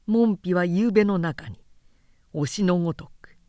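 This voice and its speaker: A woman narrating a literary work as a scripted reading, in a measured, expressive storytelling manner. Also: on the low side, no room sound, clean studio sound.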